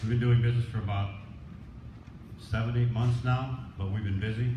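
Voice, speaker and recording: A man's voice: lowish voice, fairly even intonation, normal speed. A man giving an informal, plainspoken acceptance speech as a business owner. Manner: plainspoken, colloquial. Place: echoey indoor room at a presentation event. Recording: echoey, dull, noisy room recording.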